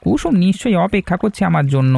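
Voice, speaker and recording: Guy playing a friendly fisherman dad, high and gravelly, talks fast. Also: sing-song, darkish tone, no echo, exaggerated voice. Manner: friendly and hearty. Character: cheerful village fisherman father. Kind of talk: animated cartoon dialogue.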